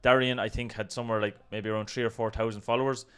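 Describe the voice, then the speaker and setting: A man's voice: on the low side, flat delivery, fast talker, fairly bright tone, clean tone. A man with an Irish accent co-hosting a casual discussion, conversational and full of hedging asides. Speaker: co-host of a video channel. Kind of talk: casual discussion of an online controversy.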